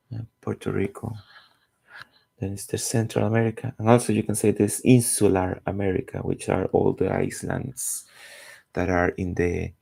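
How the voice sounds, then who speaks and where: A man in his forties from Costa Rica, a native Spanish speaker with a Costa Rican accent and a gravelly, husky voice, chatting casually and searching for words as he draws. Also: deep voice, darkish tone. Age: 40s. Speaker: comic artist streaming his drawing. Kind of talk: casual chat while drawing.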